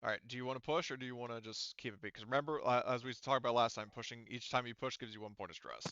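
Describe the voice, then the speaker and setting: Bright, clear-voiced man as game master. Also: medium pitch, racing, no echo, highs cut off. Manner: explanatory, thinking aloud. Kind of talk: casual game-mastering of an online tabletop session.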